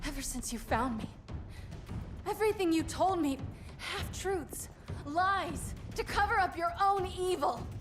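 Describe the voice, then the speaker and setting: An actress playing an earnest, idealistic, defiant young superheroine in a dramatic video game cutscene. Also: female voice, high voice, lively intonation, normal speed, music in the background. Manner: earnest, emotionally forthright.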